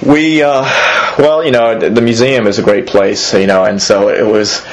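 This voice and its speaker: A man's voice: lowish voice, fairly even intonation, normal speed, rough recording. A man in his thirties, originally from Australia, answering interview questions hesitantly with many fillers and restarts. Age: 30s. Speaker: museum executive, interviewee.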